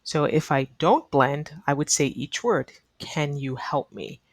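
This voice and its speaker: A woman's voice: sits low, a little slow, husky, dry recording. A woman teaching an online English lesson in a neutral American accent, explaining earnestly to learners and repeating key advice for emphasis. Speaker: ESL teacher.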